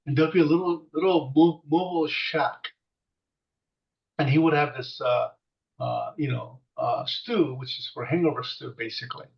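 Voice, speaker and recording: A man's voice: a little high, moderate pace, creaky. A man in his sixties from South Korea, Korean his first language, telling childhood stories as an interviewee in a rambling way, with many fillers and restarts. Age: 60s. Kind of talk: reminiscing storytelling. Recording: distant muffled mic.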